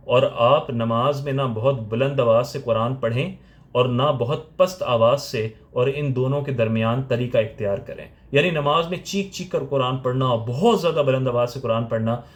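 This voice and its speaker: A man with a Pakistani accent explaining religious points step by step, like a teacher giving a lecture. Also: medium pitch.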